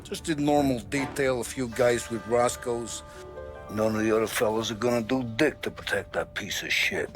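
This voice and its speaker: An actor playing a blunt, streetwise mob advisor laying out a hit in a game cutscene. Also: male voice, medium pitch, normal speed, lightly acted. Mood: matter-of-fact. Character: blunt male mob consigliere planning a hit. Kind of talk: video game cutscene acting. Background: background music.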